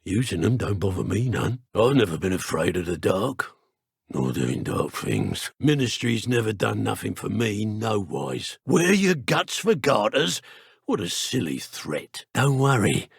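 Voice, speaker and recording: Casting: sneering dark wizard henchman, English accent, husky rough voice, colloquial and threatening, video game enemy dialogue, recorded dry. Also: lowish voice, big pitch swings, measured pace, dry recording, heavily acted. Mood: scheming, callous, then mocking, menacing. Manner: taunting, working-class colloquial speech. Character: menacing, sneering male dark wizard thug.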